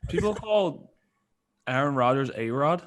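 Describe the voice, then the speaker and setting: A man with an average-pitched voice chats as a podcast co-host. He tells stories in loose, animated sports banter and swears freely. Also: unhurried.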